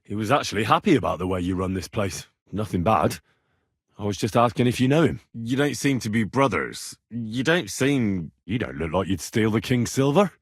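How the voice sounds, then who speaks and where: A man's voice: on the low side, lively intonation, moderate pace, husky, dry recording, studio quality. A man acting an earnest, plain-spoken young medieval man in a lord's service for a video game, with a colloquial, inquisitive manner. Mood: casual, unsure. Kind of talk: naturalistic game dialogue acting.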